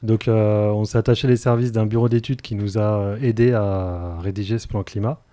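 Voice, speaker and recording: A man in his forties giving explanatory answers as a radio interview guest, in a halting, hesitant manner with many fillers, close on a studio mic. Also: lowish voice, fairly even intonation, dark tone, dry recording. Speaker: interview guest, energy transition project officer. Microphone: close studio microphone.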